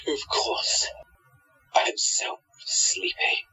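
An actor playing an entranced, lovestruck villain with a vengeful streak in a radio play, in a theatrical manner, on dull lo-fi audio. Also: male voice, drawn-out words, bright, forceful tone, full character voice. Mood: drowsy, entranced. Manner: theatrical, dramatic.

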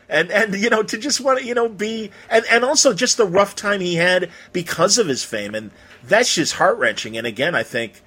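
Husky-voiced, enthusiastic male interviewer. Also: on the high side, fairly bright tone. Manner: enthusiastic, affirming, rambling. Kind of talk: casual interview chat.

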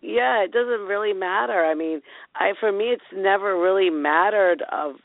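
A woman with a raspy voice calling in to a talk show, rambling excitedly. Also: medium pitch, normal speed, no echo. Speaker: caller. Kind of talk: casual call-in chat. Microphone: phone. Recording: tinny phone line, dry.

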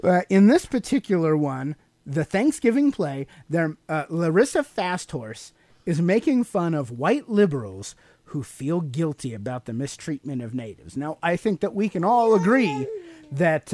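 A man's voice: a little high, sing-song, unhurried, a little mellow, no room sound. A middle-aged man co-hosting a podcast, explaining things casually to a child in a teacherly way with leading questions. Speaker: podcast co-host and father explaining to his child.

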